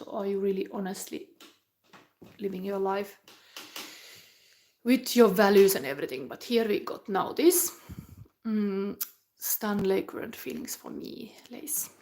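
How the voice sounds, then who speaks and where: A woman's voice: medium pitch, a little hiss or hum. Musing woman reading tarot. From Finland. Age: 40s. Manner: musing, halting, with soft whispered asides. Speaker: tarot reader.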